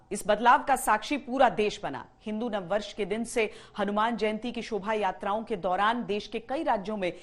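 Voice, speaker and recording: A woman anchoring the news, presenting a scripted report. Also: medium pitch.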